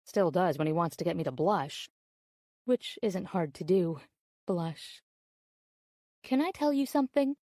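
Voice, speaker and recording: Mellow, close-up actress as coy woman letter writer. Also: fairly low pitch, animated, measured pace, long pauses, no room sound. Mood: coy, chatty. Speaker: audiobook narrator. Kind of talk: scripted audiobook narration.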